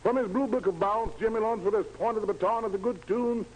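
A man's voice: high voice, fairly quick, a little mellow, slight background noise, poor audio. A man emceeing a 1940s radio music show in slangy, theatrical hepcat jive talk, on a vintage broadcast recording. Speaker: radio show host, master of ceremonies.

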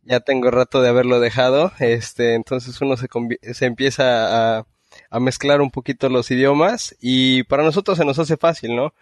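Mexican man, easygoing podcast co-host, mid pitch, dry close-miked sound. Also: fairly even intonation, normal speed, no room sound. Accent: Mexican Spanish. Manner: easygoing, filler-heavy. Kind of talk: casual podcast chat.

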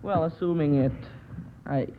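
A male contestant on a radio quiz, answering in a deliberate, tentative, precise way. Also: fairly high pitch. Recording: old radio broadcast, narrow band, faint noise.

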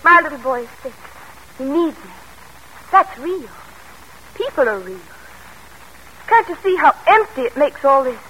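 A woman's voice: high-pitched, sing-song, measured pace, long pauses, pushed and bright, heavily acted. An actress playing a headstrong, devoted daughter and young mother in old-time radio drama, emphatic and dramatic. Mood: impassioned. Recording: noisy vintage radio recording.

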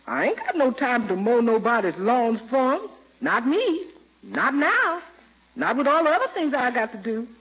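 An actress playing a good-humoured, sassy American housekeeper in 1940s radio comedy style, with folksy, wry understatement. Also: female voice, fairly high pitch, big pitch swings, moderate pace, breathy edge. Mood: wry, protesting. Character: sassy, good-humoured housekeeper woman, teasing her employer. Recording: muffled vintage radio recording.

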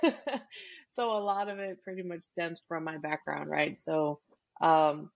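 Low gravelly woman in her forties. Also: flat delivery, unhurried, muffled recording. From Texas, USA. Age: 40s. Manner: chatty, laughs often, lots of fillers. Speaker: podcast guest. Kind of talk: casual interview chat.

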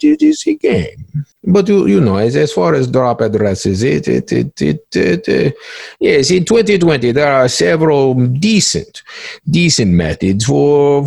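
A man's voice: fairly high pitch, gravelly, a little mellow, breathy edge, no echo, clean studio sound, voice pushed up, full character voice. A man playing a cagey, smug cybercriminal in a mock interview, stammering and repeating words with a pompous air. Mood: exasperated, insistent. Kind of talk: one-act play interview.

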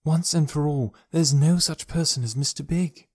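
A man doing a gentle, tactful mother. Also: on the high side, normal speed, slightly rough, dry recording, studio quality, voice pushed up. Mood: coaxing.

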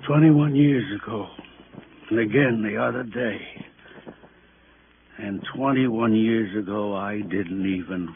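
A man's voice: fairly low pitch, takes it slowly, pauses often. An American actor playing a weary, guilt-ridden aging father who speaks plainly and ruminatively in a 1950s radio drama. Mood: sorrowful. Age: middle-aged or older. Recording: tinny, noisy old radio broadcast.

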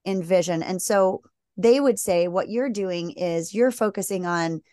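A woman co-hosting a faith podcast in casual chat, talking in quick bursts and acting out quoted people. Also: on the low side, warm tone, dry recording, clean studio sound. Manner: chatty, fast bursts, acts out quoted people. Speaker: podcast co-host.

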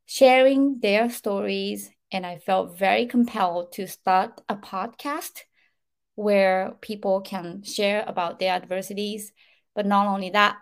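A woman's voice: mid-range voice, creaky. A woman hosting a podcast interview, opening the show with formal, scripted introductions. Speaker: podcast host.

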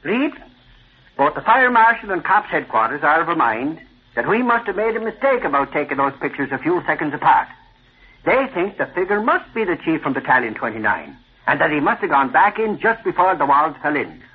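Brash, high-pitched old radio tough guy. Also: big pitch swings, brisk, breathy edge. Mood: matter-of-fact. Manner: blustery, slangy, exclamatory. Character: brash, excitable newspaper tough guy, loud and cocky. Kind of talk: old-time radio drama acting. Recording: thin, tinny vintage radio recording.

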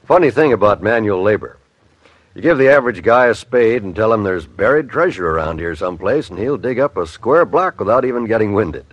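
A man's voice: fairly low pitch, animated, fairly quick, a little hiss or hum. A man working as announcer and narrator of a classic radio show, in a folksy storytelling style.

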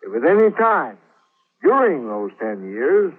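Stern, higher-voiced scheming old uncle. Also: male voice, sing-song, husky, phone-quality sound, full character voice. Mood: imperious. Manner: blunt, imperious, deliberate. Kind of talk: 1940s radio drama acting. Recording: thin band-limited old radio recording.

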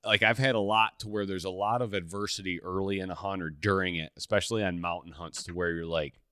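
A man hosting a hunting podcast, chatting in a relaxed way with lots of fillers and restarts. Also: deep voice, fast talker, smooth, no room sound, clean studio sound. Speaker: podcast host. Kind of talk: casual podcast conversation.

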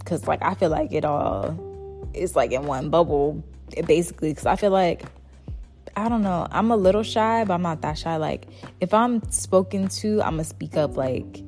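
Soft-spoken young woman podcaster, kinda low voice, rambles with lots of "like"s, faint music underneath. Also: normal speed. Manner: rambling, frequent "like" fillers. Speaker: podcast host. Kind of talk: casual solo chat.

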